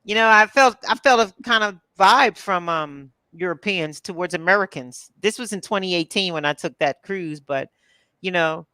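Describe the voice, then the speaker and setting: A woman from Philadelphia chatting casually as a co-host, relaxed and chatty. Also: lowish voice, dry recording. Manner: relaxed, chatty, drawn-out fillers. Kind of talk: casual chat.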